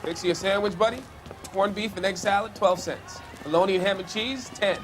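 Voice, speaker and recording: A man's voice: high-pitched, a little fast, music in the background, lightly acted. An actor playing a male street sandwich vendor in a video game, calling out his wares and prices. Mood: hawking.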